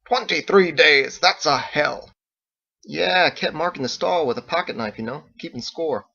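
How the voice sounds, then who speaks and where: A man's voice: on the high side, normal speed, gravelly, bright, forceful tone, highs cut off, pitched above the performer's own voice, exaggerated voice. A man voicing a brash, touchy, boastful male cage fighter in an audiobook, talking in emphatic slang. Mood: indignant. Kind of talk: character voice in audiobook narration.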